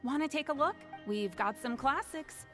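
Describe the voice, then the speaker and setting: Friendly female inmate, game dialogue, candid, contented, fairly high pitch, faint music. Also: expressive, normal speed, fairly bright tone. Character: friendly, contented woman inmate shelving library books. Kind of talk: video game voice acting.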